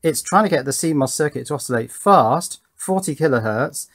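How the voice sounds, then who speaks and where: A British man presenting a hands-on electronics demonstration in a measured, explanatory way, thinking aloud as he goes. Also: on the high side, animated, no room sound. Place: indoor workbench room.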